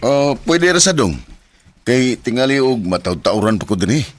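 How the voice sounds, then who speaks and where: A man's voice: mid-range voice, very animated, normal speed, natural voice. An actor with a Filipino accent playing a devoted, emotional young father in a Bisaya radio drama. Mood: warm, casual. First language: Cebuano. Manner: emotive, swinging from soft talk to anguished cries. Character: devoted young father, tender, later anguished and bitter. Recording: noisy radio drama track with clicks.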